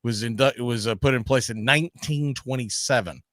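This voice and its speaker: A man's voice: medium pitch, normal speed, a bit bright, no room sound, studio quality. A man hosting a casual sports debate, speaking emphatically and repeating phrases to press his point. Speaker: sports talk host. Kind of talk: casual argumentative sports discussion.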